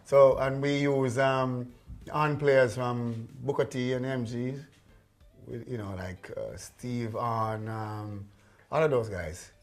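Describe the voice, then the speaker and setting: A man's voice: mid-range voice, fairly even intonation, slow. A man telling studio stories in a casual, rambling way, with many fillers and restarts. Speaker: musician. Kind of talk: casual storytelling.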